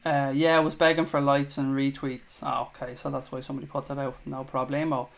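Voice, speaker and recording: A man's voice: medium pitch, level tone, brisk, creaky. Sweary man hosting a livestream. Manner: sweary, blunt, jokey. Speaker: host of a live fan chat show. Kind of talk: casual livestream chat reading comments.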